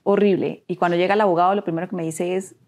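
A woman's voice: lowish voice, steady tone, fast, darkish tone. A woman with a Colombian accent giving an earnest personal account in an interview, often repeating phrases for emphasis. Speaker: interview guest. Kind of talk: emotional personal testimony.

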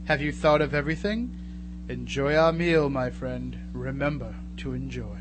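A man's voice: mid-range voice, normal speed, over music, lightly acted. A man playing a knowing, eerie announcer in a radio drama, insinuating and theatrical. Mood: sly, eerie. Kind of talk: live radio drama narration.

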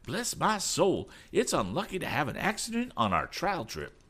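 A man voicing a fretful, excitable older man with fussy, perturbed exclamations, in a storybook. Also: on the high side, fairly quick, breathy edge, voice pushed up, exaggerated voice.

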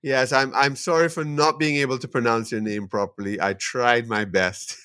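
A man hosts an interview podcast, stopping and restarting his thoughts as he goes. His voice sits at a middling pitch. The recording is dry with no room echo. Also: steady tone, moderate pace, bright, forceful tone, clear, resonant tone. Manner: hesitant, many fillers and restarts. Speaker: podcast host.

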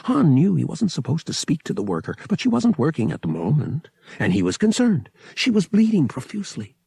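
A man's voice: on the high side, huge pitch swings, a little fast, creaky, dark tone, slightly rough, no room sound, studio quality. A man reading a story aloud as an audiobook narrator, in a dramatic scripted style.

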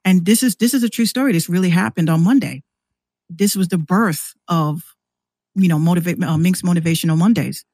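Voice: mid-range voice, brisk, a little mellow, dry recording, clean studio sound. A podcast guest who hosts a show, talking casually and at length in a rambling, conversational way.

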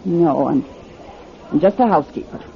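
A woman's voice: low voice, normal speed, creaky, some chatter behind. An actress playing a kindly, modest cleaning woman in a radio drama, folksy and a little hesitant. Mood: friendly, candid. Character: kindly, modest scrubwoman with mind-reading knack. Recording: dull lo-fi radio drama tape.

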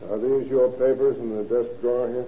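An actor playing a probing, wry and stern American police lieutenant in an old-time radio detective drama, controlled and authoritative. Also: male voice, medium pitch, steady tone, brisk, lightly acted. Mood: dry, noncommittal. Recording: tinny, noisy vintage radio recording.

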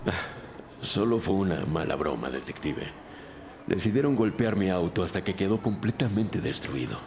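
A man playing a severe, pious reverend with a solemn, measured delivery, in an audio drama. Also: deep voice, lively intonation, creaky, a little mellow, slight background noise. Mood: stern, reserved. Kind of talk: Spanish-language audio drama acting. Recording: long hall reverb, faint music.

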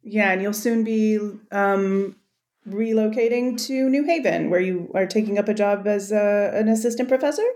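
A woman's voice: medium pitch, normal speed, darkish tone. Woman podcast host, effusive and eager. Mid-range voice. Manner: effusive, enthusiastic praise. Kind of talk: interview podcast.

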